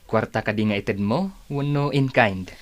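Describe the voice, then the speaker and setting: Actor playing generous husband, lower pitch, supportive, expressive, radio drama. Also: male voice, moderate pace, natural voice. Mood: curious. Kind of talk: Ilocano radio drama acting.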